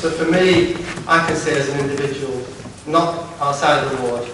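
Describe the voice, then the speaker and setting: Lancashire man speaking in an echoey hall. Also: fairly high pitch. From Lancashire, England. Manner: halting, stumbles and repeats words, wry asides. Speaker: campaign speaker at a political meeting. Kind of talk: informal public speech. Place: large echoey meeting hall. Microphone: distant from the recording device. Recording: echoey hall recorded from far off with noise.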